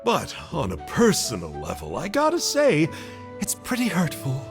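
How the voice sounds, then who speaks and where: A chirpy little villain sorcerer in a video game. He is chatty and mock-polite, pitched on the high side with extravagant swings. Loud music plays underneath. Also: wildly swooping pitch, normal speed, gravelly, heavily acted. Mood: teasing. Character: chirpy, cheeky male Abyss mage villain. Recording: loud background music over voice.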